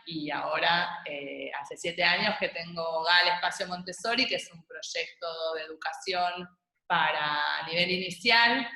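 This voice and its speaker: A woman's voice: lowish voice, pushed and bright, roomy sound, poor audio. A woman, chatting on a video call as an interview guest, easygoing and full of small hesitations. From Argentina. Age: 40s. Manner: chatty, laughs easily, many small hesitations. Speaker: guest, Montessori school founder.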